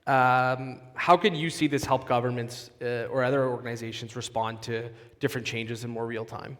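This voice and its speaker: A man presenting on a conference stage, his voice at an ordinary middle pitch. He talks in a polished, explanatory way with the odd restart. The sound carries the long reverb of a big hall. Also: steady tone, moderate pace. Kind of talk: conference talk and onstage interview.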